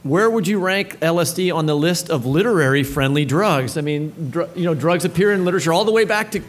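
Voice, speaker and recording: A man with a fairly high-pitched voice moderates a live on-stage interview. His style is casual and conversational as he hosts and puts questions. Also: animated, a little fast. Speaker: co-host and moderator.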